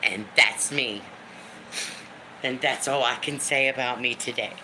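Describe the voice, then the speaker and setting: A woman in her fifties with a deep smoker's voice, venting on a live stream in a blunt, emphatic way, swearing freely and repeating lines for emphasis. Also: a little slow, background noise. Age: 50s. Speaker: livestream host. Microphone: phone or webcam for a live stream.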